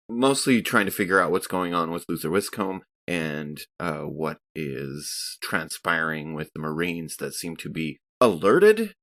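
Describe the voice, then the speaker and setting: A man playing a tabletop role-playing game, casually and haltingly thinking his plans aloud. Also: deep voice, fairly bright tone. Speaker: player in a tabletop RPG.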